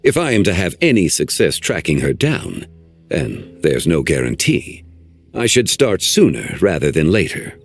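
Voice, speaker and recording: A man voicing an ancient male guardian in a video game, speaking in a formal, measured, old-fashioned way. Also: deep voice, wildly swooping pitch, soft background music, heavily acted. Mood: grave.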